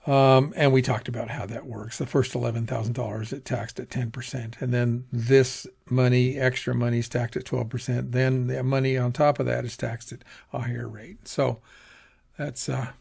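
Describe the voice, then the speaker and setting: A man's voice: medium pitch, little pitch movement, moderate pace, creaky, a little mellow, slightly rough. A man giving an informal lecture on personal finance, talking in a rambling, conversational way and correcting himself as he goes. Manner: conversational, rambling, self-correcting, acts out quoted asides. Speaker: teacher.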